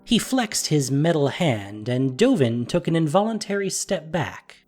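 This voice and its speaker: A narrator reading a scripted fantasy story in an expressive storytelling manner. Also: medium pitch, unhurried, faint music behind.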